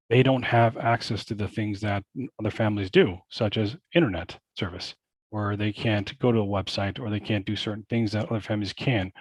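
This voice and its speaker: Earnest low-voiced man, dry sound. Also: dry recording. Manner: earnest, repeats phrases, many fillers. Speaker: school board candidate. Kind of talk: panel Q&A answer.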